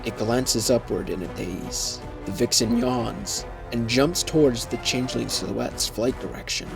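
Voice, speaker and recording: Gravelly male story narrator. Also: mid-range voice, a little slow, over music.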